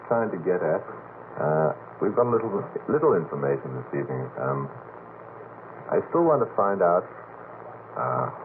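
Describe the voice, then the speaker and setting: A middle-aged man on a late-night radio panel, putting questions in a hesitant, courteous and wordy way. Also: deep voice. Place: radio station studio. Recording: noisy narrowband vintage radio tape.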